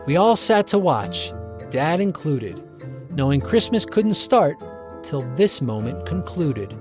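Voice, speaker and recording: Animated higher-pitched man reciting rhymed verse. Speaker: poet reading own poem. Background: music.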